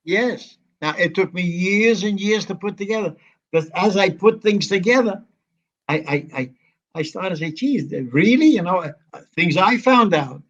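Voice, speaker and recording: A man in his nineties, an interview guest from the United States, reminiscing in a halting, rambling way full of restarts and fillers. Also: sits high, expressive, fairly bright tone, muffled recording. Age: 90s. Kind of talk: conversational reminiscing.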